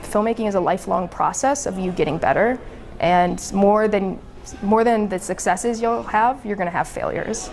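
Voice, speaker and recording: A woman filmmaker gives unscripted interview answers. Her voice sits at a middle pitch, with some noise around her. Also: moderate pace, a little mellow.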